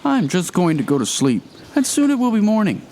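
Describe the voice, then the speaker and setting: Guy doing a jumpy scared dude, voice way up high, crickets in the background. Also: wildly swooping pitch, brisk, a little mellow, a little hiss or hum, exaggerated voice. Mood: nervous. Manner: high, strained, nervous. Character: bragging man turned jittery and scared.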